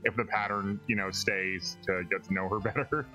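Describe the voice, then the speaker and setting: A man's voice: on the low side, fairly even intonation, normal speed, bright, forceful tone, muffled recording, soft background music. A man co-hosting a podcast, giving casual, conversational commentary on a video game. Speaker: podcast co-host.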